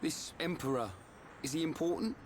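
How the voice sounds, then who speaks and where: A man playing a good-natured, slow-witted young fighter in a video game, casual and plain-spoken. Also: on the high side, a little hiss or hum, close to an everyday voice. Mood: puzzled. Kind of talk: video game cutscene acting.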